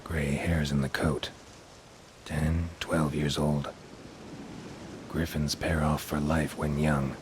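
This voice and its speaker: Very deep, flat monster hunter. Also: male voice, slow pace, long pauses, noisy background. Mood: grim, observing. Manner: deadpan, terse, clipped sentences. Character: gruff, world-weary, terse monster hunter, a long-lived man. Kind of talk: video game voice acting.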